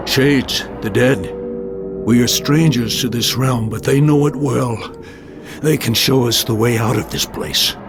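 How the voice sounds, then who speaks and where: A wise centaur of myth from a game cutscene. The voice is mid-pitched and creaky, earnest yet lively in intonation, with music playing behind. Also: male voice, measured pace. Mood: grave. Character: wise, steady male centaur guide of myth. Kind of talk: scripted video game cutscene acting.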